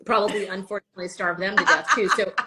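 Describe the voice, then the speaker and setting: A woman's voice: mid-range voice, quick pace, very noisy. A woman whose first language is Spanish, a TV host chatting as an interview guest in a rambling, filler-heavy way with easy laughs. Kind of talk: casual conversational interview.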